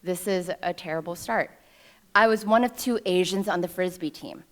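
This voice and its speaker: Woman, 30s, from New York City; slightly low voice, wry self-deprecating storyteller at a ceremony podium. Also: normal speed. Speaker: alumna speaker at a ceremony. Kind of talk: personal storytelling speech. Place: ceremony stage before an audience.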